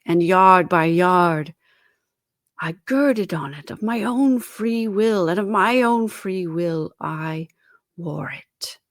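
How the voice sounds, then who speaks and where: Woman voicing slow, mournful male ghost. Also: on the low side, no room sound, clean studio sound. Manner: slow, drawn-out wailing delivery with breathy whispers. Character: mournful, tormented ghost of a dead businessman. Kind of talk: dramatised storybook reading.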